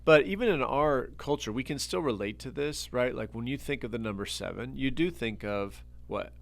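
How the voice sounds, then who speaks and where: A middle-aged man co-hosting a podcast in casual chat, rambling with frequent restarts and getting animated when making a point. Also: medium pitch, normal speed, vocal fry. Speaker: podcast host.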